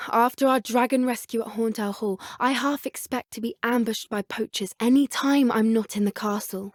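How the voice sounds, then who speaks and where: An earnest, plucky schoolgirl witch from a video game, speaks in a fairly high British voice. Her phrasing is polite and a little old-fashioned, that of a girl who cares about creatures. The sound is dry, with no room on it. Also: dry recording. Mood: concerned, earnest. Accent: British English. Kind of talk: scripted game character dialogue.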